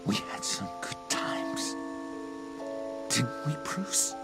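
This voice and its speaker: An actor playing a theatrical, unhinged, needy clown-like villain in a video game, with a creaky voice that breaks into whispers. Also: male voice, very slow. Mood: wistful, wounded. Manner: theatrical, taunting drawl with wild swings. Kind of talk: dramatic video game voice acting. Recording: game audio under loud dramatic music.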